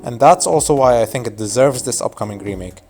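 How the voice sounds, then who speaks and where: A man's voice: mid-range voice, animated, fairly quick, a little mellow, soft background music. A man in his twenties whose first language is Arabic, narrating a scripted video essay in a casual, wry, nostalgic way. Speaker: YouTube narrator.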